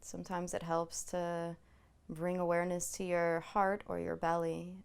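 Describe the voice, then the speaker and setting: A woman meditation instructor leading a guided body scan in a soothing, gently reassuring way, unhurried and leaving long pauses. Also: on the low side, monotone, creaky, smooth.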